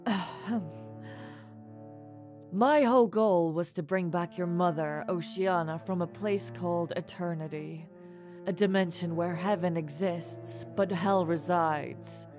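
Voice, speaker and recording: A woman's voice: fairly low pitch, a little slow, darkish tone, natural voice. A woman voicing a remorseful mad scientist mother in a dramatic comic dub, speaking formally and theatrically. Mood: grave, explaining. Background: faint music.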